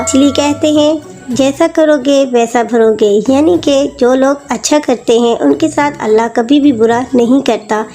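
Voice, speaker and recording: A woman's voice: sits high, a little mellow. A woman narrator reading a scripted moral story. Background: faint music.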